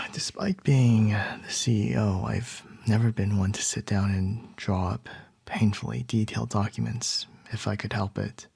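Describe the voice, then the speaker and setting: A man playing a calm, charming male CEO boss in an ASMR roleplay, soft and reassuring with a light teasing edge. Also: fairly low pitch, measured pace, vocal fry, husky, no room sound, exaggerated voice. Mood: soothing.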